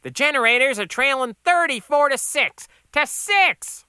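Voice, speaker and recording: A man voicing a whiny, sad-sack diner cook with a plaintive, drawn-out delivery in an audiobook. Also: extremely high pitch, big pitch swings, bright, forceful tone, clean tone, dry recording, clean studio sound, voice pushed up, exaggerated voice. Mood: exasperated.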